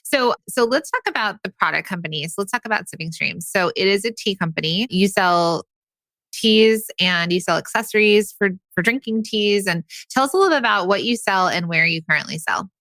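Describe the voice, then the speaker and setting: A woman's voice: medium pitch, animated, brisk, fairly bright tone, dry recording. A woman co-hosting a business podcast, joking playfully while leading the questions. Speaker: podcast co-host. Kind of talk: casual interview chat.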